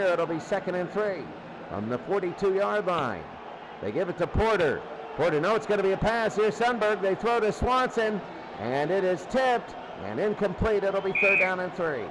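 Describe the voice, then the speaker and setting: A man's voice: sits high, background noise. A man doing live radio play-by-play of American football, repeating down and distance in short bursts. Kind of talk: live sports radio commentary.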